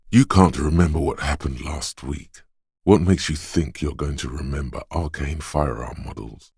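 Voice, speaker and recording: Gun-obsessed inner voice from a video game, super deep n husky, deliberate, real dry close recording. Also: male voice, a little mellow, dry recording, full character voice.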